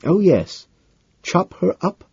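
A man's voice: sits high, very animated, slow pace, creaky, darkish tone, slightly rough, no room sound, highs cut off, pitched above the performer's own voice, full character voice. A man voicing a pompous, condescending, amused heavyset businessman with an affected English accent in an audiobook. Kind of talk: audiobook character dialogue.

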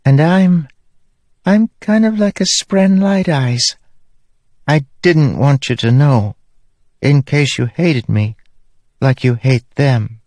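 A man's voice: fairly low pitch, big pitch swings, normal speed, no room sound, voice pushed up. A man voicing a vain, childlike female spirit in a small voice for a fantasy audiobook. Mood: sheepish, anxious.

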